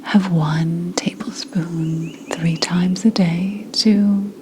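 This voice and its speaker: Woman doing a mystical 1600s witch for asmr, breathy whisper, super slow and solemn, bit of noise in the recording. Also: lowish voice, fairly even intonation, darkish tone. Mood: conspiratorial. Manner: solemn, dramatic, deliberate pauses. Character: 17th century witch healer, woman, mystical, brooding, conspiratorial.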